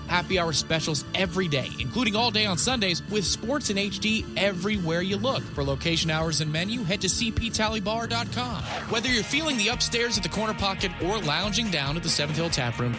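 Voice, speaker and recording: A man reading radio ads in an upbeat, salesy announcer style. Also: high voice. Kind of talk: scripted radio ad read. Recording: ad read over music.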